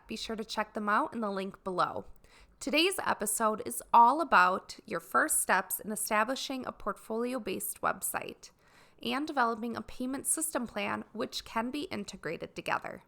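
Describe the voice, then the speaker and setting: A woman hosting a solo podcast for artists, sounding raspy and dry as she gets over a cold, talking in an earnest, explanatory way. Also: on the high side, pushed and bright. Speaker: podcast host.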